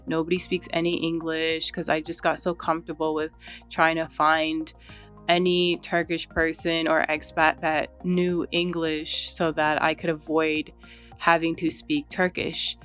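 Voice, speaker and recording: A woman chatting casually while she draws, relaxed and rambling, often saying "like" and "you know". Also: sits low. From United States. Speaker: art channel host. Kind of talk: casual chat while drawing.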